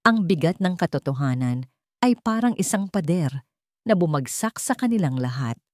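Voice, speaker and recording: A woman's voice: low-pitched, mellow, no echo, clean studio sound. A woman reading a scripted drama story as its narrator, in a dramatic storytelling style.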